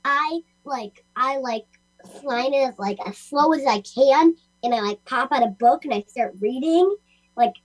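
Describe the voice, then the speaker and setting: Girl child, high-pitched, eager and rambling in casual conversation, repeats herself often. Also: a little slow, low-quality recording. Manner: eager, repetitive, many "like"s. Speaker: young participant. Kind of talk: casual chat.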